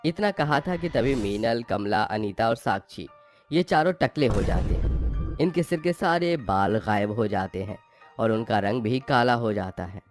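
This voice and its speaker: A man's voice: fairly low pitch, animated, measured pace, faint music behind. A man narrating scripted moral tales for a cartoon as the storyteller.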